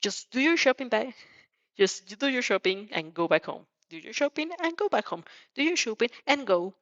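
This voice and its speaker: A woman's voice: on the high side, normal speed, no room sound. Dull-sounding woman reading a story expressively. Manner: expressive, stumbles over words and rereads lines. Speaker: narrator and reader of fan fiction. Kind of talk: dramatic second-person story reading.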